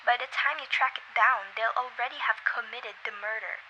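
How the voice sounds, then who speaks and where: Young woman acting fretful bedridden wife, high-pitched. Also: moderate pace, sharp tone, lo-fi sound, a little hiss or hum. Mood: insistent. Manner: fretful, insistent. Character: anxious, demanding bedridden wife, self-pitying and imperious. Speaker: student voice actor in a radio play. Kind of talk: amateur radio drama acting.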